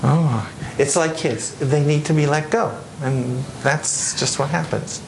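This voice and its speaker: A man's voice: fairly high pitch, unhurried, gravelly. Man telling stories in an echoey hall. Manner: warm anecdotal storytelling with frequent restarts. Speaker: composer speaking at a panel. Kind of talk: casual talk answering audience questions. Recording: echoey room with noise.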